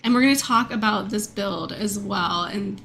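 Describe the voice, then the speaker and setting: A woman in her thirties streaming a game, talking casually with a stuffed-up nasal voice and a slight croak, rambling with fillers and laughs. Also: medium pitch, moderate pace, fairly bright tone, soft background music. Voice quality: a bit croaky. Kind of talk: casual chat with viewers.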